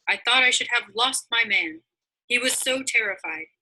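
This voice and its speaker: A woman's voice: fairly high pitch, expressive, normal speed, pushed and bright, pitched above the performer's own voice, exaggerated voice, poor audio. A woman voicing a bold manservant who guards and guides pilgrims in a storybook, telling things emphatically. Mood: recounting. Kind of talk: storybook character voice.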